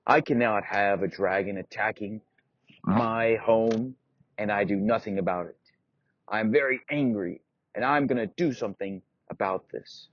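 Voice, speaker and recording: A gruff, determined blacksmith talks plainly in a slightly lowered voice, as a man plays him, with a husky edge. It is a dull, dry lo-fi recording. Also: measured pace, no room sound. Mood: angry.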